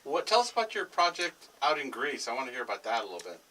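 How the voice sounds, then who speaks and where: A man hosting a talk show, asking quick questions and joking with his guests. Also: medium pitch, wildly swooping pitch, pushed and bright. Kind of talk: casual interview chat. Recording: distant mic with faint noise.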